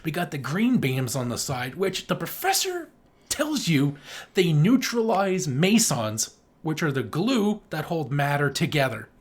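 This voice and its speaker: Animated, enthusiastic podcast co-host. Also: medium pitch, measured pace, breathy edge. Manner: enthusiastic, emphatic, frequently swearing. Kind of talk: casual film review chat.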